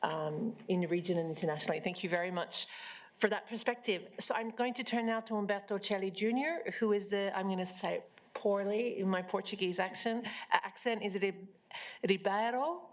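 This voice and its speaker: A woman's voice: mid-range voice, fairly quick. Woman, panel moderator, friendly, self-deprecating.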